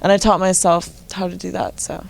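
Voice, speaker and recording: Giggly teen girl bassist. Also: little pitch movement, a little fast, gravelly. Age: late teens. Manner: giggly, filler likes. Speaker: bass player in a band. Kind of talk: casual interview chat.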